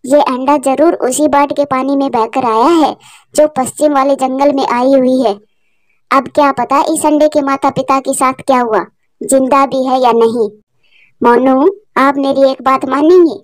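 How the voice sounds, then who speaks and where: High, plaintive little mother bird voiced by a woman. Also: fairly even intonation, measured pace, no room sound, studio quality. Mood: tender, hopeful. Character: emotional female bird, mother and sister, gentle and anxious. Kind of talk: Hindi cartoon story dialogue.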